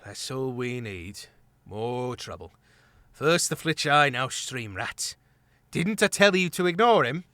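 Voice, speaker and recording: Guy doing a calm tired warrior mouse, steady low-key, dry close sound. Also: slow pace, no room sound. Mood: weary. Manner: steady, weary.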